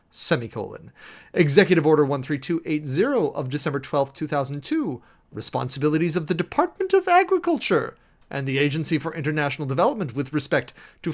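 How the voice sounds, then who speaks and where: A man giving a dramatic, emphatic reading of a formal document, announcing headings and punctuation as he goes. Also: on the high side. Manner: theatrical, emphatic, spells out punctuation and section headings. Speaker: streamer and host reading a document aloud.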